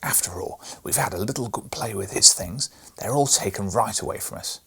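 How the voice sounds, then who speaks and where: A man's voice: on the low side, normal speed, pushed and bright, breathy edge, in a whisper, heavily acted. Man doing a blunt, bossy young boy. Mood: dismissive. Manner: blunt, dismissive.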